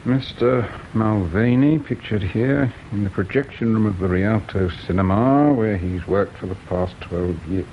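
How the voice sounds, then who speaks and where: An actor playing a courteous, sharp English detective inspector in a British radio drama, speaking formally and with measured understatement. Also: male voice. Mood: polite, probing. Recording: muffled old radio recording, rumbling noise.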